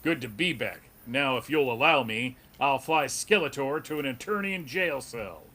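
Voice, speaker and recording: A man's voice: medium pitch, moderate pace, pushed and bright. A man playing an earnest, noble superhero in a melodramatic comic book table read. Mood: polite, bemused.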